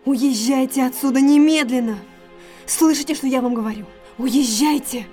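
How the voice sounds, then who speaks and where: High-voiced, anxious, refined lady, acted by a woman. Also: normal speed, dark tone, faint music behind, natural voice. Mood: urgent, pleading. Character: Beryl, elegant, anxious, secretive naturalist's sister. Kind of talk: audio drama acting.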